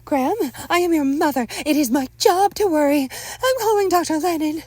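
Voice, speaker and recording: A woman's voice: high voice, brisk, a little mellow, no echo, voice pushed up, close to an everyday voice. A woman voicing an anxious, doting mother who is sugary, controlling and insistent in a dramatic script reading. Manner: doting, insistent, emotionally intense.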